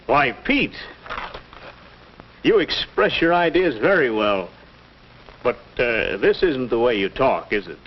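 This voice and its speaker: Slow, halting middle-aged American dad. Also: male voice, mid-range voice, very animated. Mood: surprised, impressed. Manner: hesitant, halting, pondering. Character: earnest middle-aged father groping for words. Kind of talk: mid-century educational film acting. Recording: dull old educational film soundtrack.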